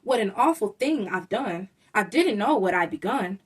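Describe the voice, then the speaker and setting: A woman voicing a selfish, stubborn little boy creature in a children's storybook, loud and possessive in a childish way. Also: medium pitch, lively intonation, normal speed, husky, recorded from a distance, low-quality recording. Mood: sad. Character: selfish, stubborn young boy creature who grabs toys.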